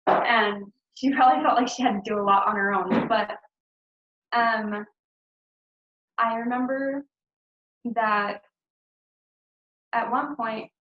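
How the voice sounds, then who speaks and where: A woman's voice: on the high side, fairly even intonation, slow, pauses often, a bit bright. A young woman recounting her missionary years in a chatty, casual way, full of ums and likes and light laughs, speaking over a video call. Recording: roomy, dull call audio.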